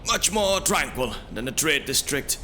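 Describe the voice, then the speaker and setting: A man playing a seasoned traveling brother in a fantasy audio drama, a guide and leader who speaks with measured, kindly authority. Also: a little high, very animated, bright, forceful tone, slight background noise, pitched above the performer's own voice, full character voice. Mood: genial, relaxed. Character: seasoned traveling brother, male, genial guide turned grave commander.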